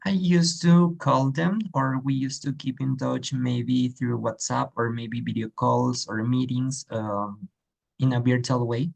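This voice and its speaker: A man's voice: medium pitch, fairly even intonation, creaky, a little mellow. A man from Ecuador, answering practice exam questions hesitantly with many fillers. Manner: hesitant, many ums and uhs, slowing to search for words. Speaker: exam candidate.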